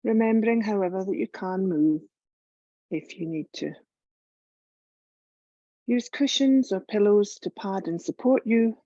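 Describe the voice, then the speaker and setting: A woman leading a guided meditation as a yoga teacher, calm and measured, giving gentle instructions with long pauses. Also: medium pitch, no room sound, lo-fi sound.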